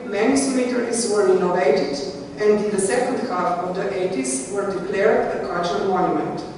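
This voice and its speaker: A woman's voice: mid-range voice, a little mellow, slight background noise. A woman with a Slovenian accent, a conservator giving a conference presentation in English, hesitant with many fillers and restarts. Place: conference hall. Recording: echoey hall heard from far off.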